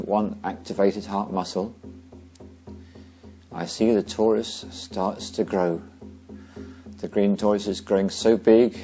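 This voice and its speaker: A man leading a guided meditation slowly and gently, pausing between short phrases. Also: low-pitched.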